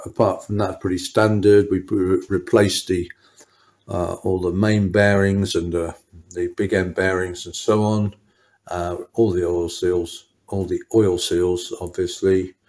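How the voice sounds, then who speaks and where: Deep-voiced mechanic, rambling. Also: male voice, darkish tone. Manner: unscripted, rambling, with frequent restarts and fillers. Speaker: motorcycle mechanic. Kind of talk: workshop walkthrough talk. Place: small workshop indoors.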